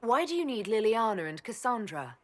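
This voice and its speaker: A woman's voice: a little high, very animated, brisk. A woman playing a courteous, composed leader in a video game, speaking politely and directly. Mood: polite, curious. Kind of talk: video game dialogue acting.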